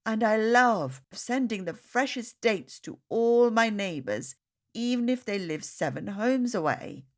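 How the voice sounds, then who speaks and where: Tender storybook relative, voiced by a woman narrator, gentle, earnest, slow and savoring, expressive pitch. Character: family members of all ages, tender and devout. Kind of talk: storybook character reading.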